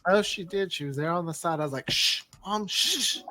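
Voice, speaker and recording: Joking man, slightly high voice, close and dry. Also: normal speed, bright, forceful tone, dry recording. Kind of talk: casual podcast banter.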